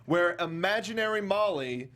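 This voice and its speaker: A man hosting a casual film discussion, talking in an animated way and acting out mock quotes as he goes. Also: high-pitched, normal speed. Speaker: talk show host.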